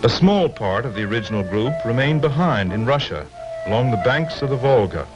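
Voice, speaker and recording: A man giving scripted documentary narration in a measured, expressive way. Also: medium pitch. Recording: dull old film soundtrack with music.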